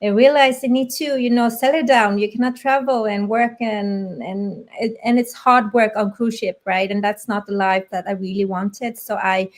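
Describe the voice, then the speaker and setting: A woman's voice: medium pitch, fairly even intonation, normal speed, hardly any pauses. A middle-aged woman from Vietnam casually sharing her personal story as a guest on a live video call. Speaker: guest, business coach and part-time teacher.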